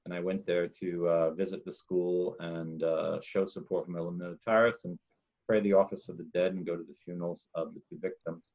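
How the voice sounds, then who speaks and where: Loud rambling man on a dull recording. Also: sits low, fairly even intonation, normal speed. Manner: rambling, emphatic storytelling with laughs and restarts. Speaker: podcast host, monk. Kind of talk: casual conversation and personal story.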